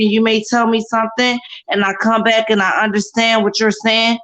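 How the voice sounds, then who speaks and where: Deep voice, loud and kinda forceful, guest on a video panel. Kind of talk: casual panel chat. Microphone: video call.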